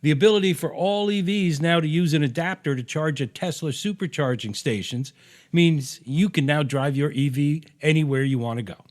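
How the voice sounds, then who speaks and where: An American man reading his own opinion piece aloud in a punchy, sarcastic, salty-tongued way, as a podcast host. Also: fairly high pitch.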